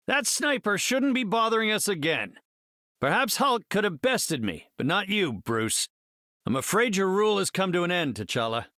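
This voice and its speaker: An actor playing a brainy, confident scientist hero in a video game, speaking in an articulate, measured and slightly formal way. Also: male voice, sits high, pushed and bright, dry recording, studio quality, full character voice. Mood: confident, triumphant, then regretful, firm. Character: brainy, confident, earnest male scientist superhero leader.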